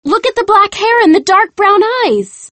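A woman voicing an eager, excitable young female amateur sleuth in an audiobook story, speaking clearly and emphatically. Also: extremely high pitch, moderate pace, no echo, pitched above the performer's own voice, heavily acted. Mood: puzzled. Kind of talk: acted dialogue in audiobook story.

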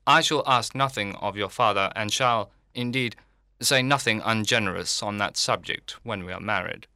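Give me a man playing a pompous, bright-voiced clergyman. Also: lowish voice, moderate pace, dry recording, studio quality. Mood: self-important. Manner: formal, pompous, long-winded. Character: pompous, self-satisfied clergyman proposing marriage. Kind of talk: dramatised literary audiobook reading.

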